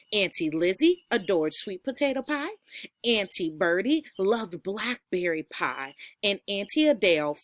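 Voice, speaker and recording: A woman teacher reads aloud to young children with enthusiasm. Her pitch rises and falls widely and she takes her time, in a close, dry recording. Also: fairly bright tone, dry recording. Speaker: second grade teacher reading aloud. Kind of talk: expressive children's storybook read-aloud.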